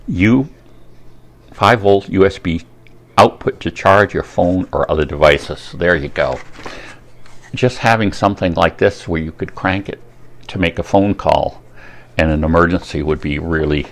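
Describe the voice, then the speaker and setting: A man giving a casual, unscripted product review in a rambling way, pausing often to think. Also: sits low.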